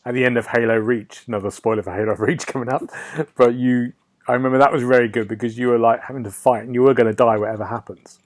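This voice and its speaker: A man's voice: medium pitch, fairly even intonation, fast talker. A man hosting a casual gaming podcast, chatting and putting questions in an informal way. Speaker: podcast host.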